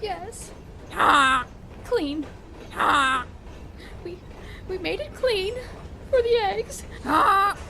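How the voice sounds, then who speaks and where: A woman voicing a traumatized, weepy lady swan, halting and shrill, in a comic audio drama. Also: animated, bright, forceful tone, slight background noise, exaggerated voice. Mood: traumatized, weeping. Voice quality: creaky, shrill. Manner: halting, whimpering. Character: traumatized, weepy female swan, boozy and frazzled.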